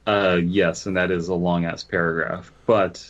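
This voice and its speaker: A man's voice: low voice, level tone, moderate pace, highs cut off. A man co-hosting a podcast, bantering casually and stumbling as he reads a story aloud. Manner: stumbles while reading aloud. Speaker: podcast co-host.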